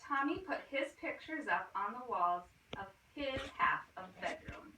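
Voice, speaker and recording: A woman's voice: on the high side, animated, normal speed, sharp tone. A roomy, noisy recording of a woman reading a children's picture book aloud from a little way off the mic. She tells it expressively, like a teacher, and speaks directly to the children listening. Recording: roomy, distant mic, clear noise and clicks.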